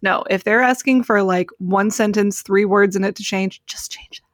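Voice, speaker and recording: A woman's voice: medium pitch, moderate pace, no echo, clean studio sound. A woman co-hosting a podcast, chatting casually and explaining things from experience. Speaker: podcast co-host.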